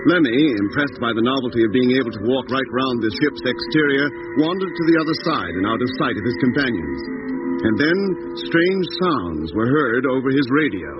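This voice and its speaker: A man narrating as a formal, measured announcer in scripted 1950s radio style. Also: mid-range voice, expressive. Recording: noisy, muffled old radio recording.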